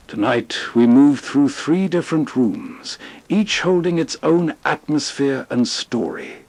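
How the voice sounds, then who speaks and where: Higher-voiced man, atmospheric scripted narration. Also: darkish tone. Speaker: host.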